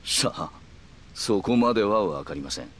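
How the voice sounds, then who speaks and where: An actor playing a calm, calculating middle-aged yakuza man who speaks in polite, measured, formal phrases in a video game. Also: on the low side, slight background noise. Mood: cool, persuasive. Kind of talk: Japanese video game cutscene acting.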